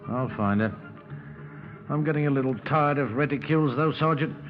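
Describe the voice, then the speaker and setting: An actor playing a laconic, dogged and faintly weary British police sergeant with a husky voice, in a 1950s radio drama on a tinny old recording. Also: male voice, medium pitch, moderate pace, over music, lightly acted. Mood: wry, weary. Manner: laconic, wry.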